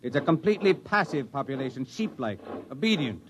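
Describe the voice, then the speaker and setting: A man's voice: medium pitch, big pitch swings, a little fast, background noise, close to an everyday voice. A man playing a condescending, authoritative military commander with a dry manner in a 1950s radio drama. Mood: smug, dismissive.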